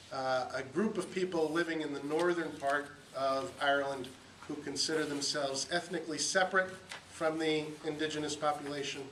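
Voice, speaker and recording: A man giving a conference talk with dry humor and frequent fillers, recorded from across a room at a university event. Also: a little high. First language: English. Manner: relaxed, wry lecturing with frequent "uh" fillers. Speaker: conference speaker. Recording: echoey room, distant mic, faint hiss.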